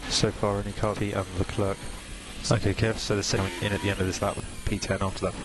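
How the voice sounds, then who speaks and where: A man working as a race engineer, giving terse, calm, businesslike briefings to a driver over team radio. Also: fairly low pitch, quick pace, over music. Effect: team radio transmission. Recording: very noisy team radio with engine and vehicle noise.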